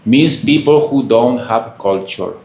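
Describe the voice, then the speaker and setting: A man from Peru with a Peruvian Spanish accent, a native Spanish speaker, giving a halting spiritual lecture full of fillers and questions to the audience. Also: medium pitch, darkish tone. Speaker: monk giving a talk. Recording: echoey room.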